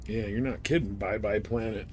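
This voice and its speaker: A man's voice: steady tone, normal speed, darkish tone. A man giving casual live commentary as he streams a space game, chatting to viewers with mild swearing. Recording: clear background noise, game engine rumble. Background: spaceship game sounds.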